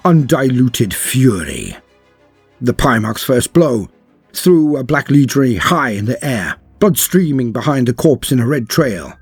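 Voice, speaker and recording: A man's voice: mid-range voice, a little mellow, husky, no echo. A man narrating a scripted tale in a grave, theatrical manner, drawing out words for emphasis. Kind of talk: dramatic scripted lore narration.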